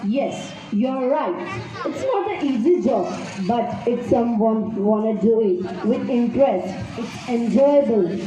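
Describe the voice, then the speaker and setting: A woman's voice: on the high side, expressive, slow, music in the background. A female student performer reciting simple scripted puppet-show dialogue in a rehearsed way. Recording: muffled, very echoey, noisy room with chatter.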